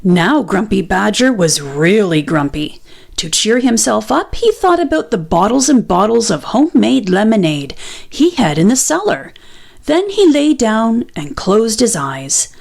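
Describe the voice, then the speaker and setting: A woman narrating a children's picture book aloud in an expressive, deliberate storytelling style. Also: mid-range voice, gravelly.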